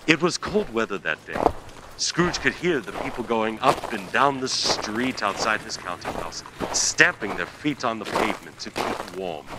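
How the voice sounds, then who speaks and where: Male narrator, very expressive pitch, theatrical storytelling, dramatic emphasis, radio play. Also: heavy background noise.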